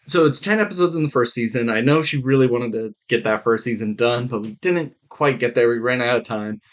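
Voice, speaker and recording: A man's voice: medium pitch, brisk. A man co-hosting a podcast in casual chat, telling stories in an irreverent, digressive way with some swearing. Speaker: podcast co-host.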